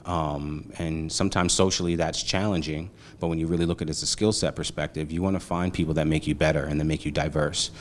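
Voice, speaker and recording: A man's voice: a bass voice, fast, few pauses, a little hiss or hum. A man, chatting casually as an interview guest, loose and slangy with lots of fillers. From Brooklyn, New York. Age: 40s. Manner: relaxed, slangy, many fillers.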